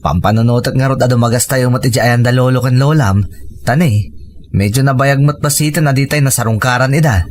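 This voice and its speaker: A man's voice: medium pitch, fairly quick, natural voice. A man playing a hardworking, modest husband and fond father with a Filipino Ilocano accent in a radio drama. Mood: affectionate.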